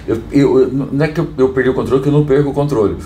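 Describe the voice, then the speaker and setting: Hoarse Brazilian man, emphatic and repetitive. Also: medium pitch, dark tone. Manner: emphatic, repeats phrases, halting restarts. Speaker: podcast host, hair tools business owner. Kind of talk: casual podcast conversation. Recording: roomy echo, faint background noise.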